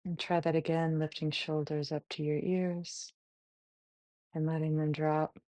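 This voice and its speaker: Female meditation guide, mellow and even, gentle short phrases with long pauses, close dry sound. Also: low voice, no echo. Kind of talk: guided body scan meditation.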